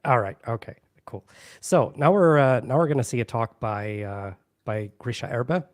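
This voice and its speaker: A man's voice: medium pitch, moderate pace. A man hosting a live stream, chatting casually and loosely with fillers and restarts. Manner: relaxed, full of fillers and restarts. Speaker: event host.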